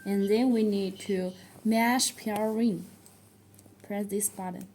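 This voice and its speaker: A woman who speaks English as a second language, presenting a product tutorial in a halting, step-by-step way. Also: mid-range voice, steady tone, dark tone, slight background noise. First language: not English. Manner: halting, step-by-step delivery with non-native phrasing.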